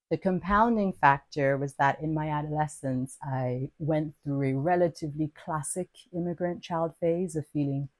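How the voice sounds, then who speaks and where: A woman's voice: low voice, a little mellow, no echo, poor audio. A woman from Nigeria hosting a podcast, reading her own lyrical personal essay in a playful, wordy, self-mocking way. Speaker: podcast host. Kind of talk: scripted personal essay narration.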